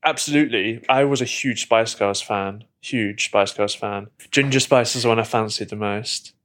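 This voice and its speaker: A man's voice: lowish voice, normal speed, fairly bright tone. A man co-hosting a podcast, chatting casually and teasing his co-host. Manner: teasing, playful. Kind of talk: casual chat.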